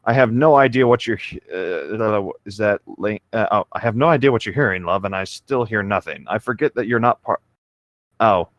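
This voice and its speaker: A man's voice: fairly low pitch, moderate pace, no room sound, muffled recording. A man reading a story aloud as a co-host, casually dropping in exasperated, joking asides. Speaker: co-host of a comedic fan fiction reading show.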